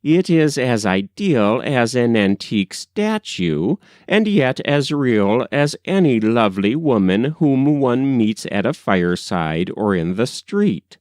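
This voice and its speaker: A man voicing an earnest, admiring and refined gentleman artist from a storybook, speaking formally and emphatically. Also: medium pitch, very animated, takes it slowly, few pauses, no room sound, clean studio sound. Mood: earnest praise. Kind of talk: storybook character reading.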